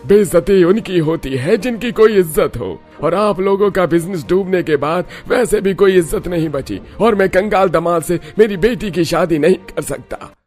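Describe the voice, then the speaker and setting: A man voicing an arrogant, contemptuous and angry bride's father in a cartoon drama, loud and scornful. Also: high voice, moderate pace, hardly any pauses, faint music behind, lightly acted.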